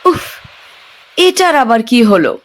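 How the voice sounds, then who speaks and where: A woman's voice: fairly high pitch, sing-song, takes it slowly. An actress playing an impatient young woman stranded on an island, asking questions. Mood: uneasy.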